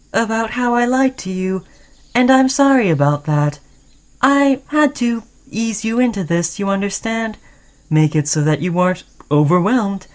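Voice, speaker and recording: Lovestruck sentient robot played by a woman, mid-pitched, clingy and earnest, pleading with a veiled threat, for an intimate sci-fi audio roleplay. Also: close to an everyday voice. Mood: apologetic, insistent. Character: obsessed, lovestruck sentient robot, clingy, caring yet menacing. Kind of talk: roleplay audio drama, intimate second-person monologue.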